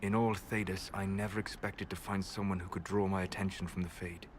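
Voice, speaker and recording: An actor playing a guarded, scholarly male elf mage who speaks calmly and in a measured way, in game cutscene dialogue. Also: deep voice, slight background noise, lightly acted. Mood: solemn. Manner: calm, measured, soft-spoken. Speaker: video game character.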